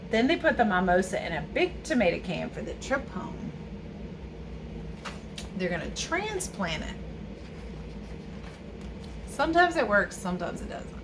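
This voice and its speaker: A woman's voice: mid-range voice, background noise. A woman reading and explaining to young viewers as a children's read-aloud teacher and host.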